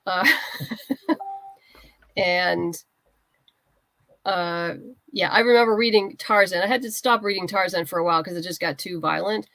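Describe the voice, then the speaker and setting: A woman's voice: lowish voice, a little slow, pauses often, fairly bright tone, no room sound. A woman chatting casually as an interview guest, talking in an enthusiastic, chatty way. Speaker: guest, writer and comics creator.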